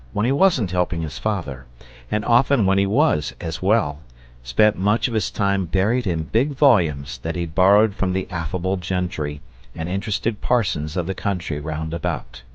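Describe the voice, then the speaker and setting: A man narrating a children's story as an audiobook reader, in an expressive storytelling manner. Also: on the low side, dry recording, muffled recording.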